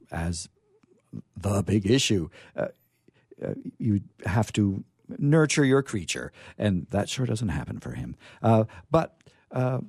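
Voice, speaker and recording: A man's voice: fairly low pitch, a little mellow, husky, no echo, clean studio sound. A man hosting an informal literary discussion, speaking in a hesitant, thoughtful way with repetition for emphasis. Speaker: host.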